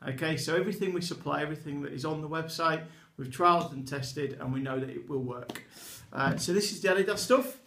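A chatty, enthusiastic man in his thirties gives a product walkthrough at a desk, his voice sitting a little high for a man. The small room around him adds a clear echo. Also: fairly quick, hardly any pauses, fairly bright tone. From England. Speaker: eyewear retailer presenting products. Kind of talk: product demonstration to camera. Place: small indoor office with a poster behind a desk. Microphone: camera in front at desk distance.

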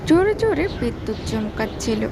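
High-pitched creaky woman narrating a children's story. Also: very animated, brisk, noisy background. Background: music.

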